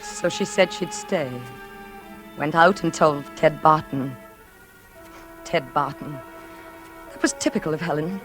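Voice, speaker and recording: Old-time radio suspense: actress as secretive, isolated woman, deep register, fearful yet chilly, evasive, menacing undertone, faint music under. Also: close to an everyday voice. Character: brooding, secretive, lonely woman, frightened yet coldly resentful. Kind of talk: 1940s radio thriller acting, confiding narration and dialogue.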